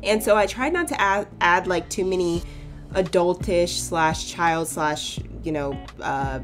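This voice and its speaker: A young woman chatting casually over her game build, her voice a bit hoarse and cracking, rambling into playful asides. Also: fairly low pitch, a little slow. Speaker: sims builder YouTuber. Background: soft background music.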